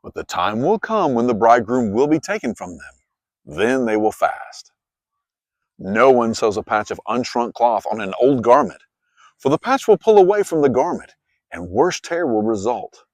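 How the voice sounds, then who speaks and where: A man acts out a male teacher and healer from a scripture story. He is calm, solemn and authoritative, weighing his words with a very lively, wide-ranging delivery in a dry, close sound. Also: medium pitch, moderate pace, no room sound, natural voice. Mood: measured teaching.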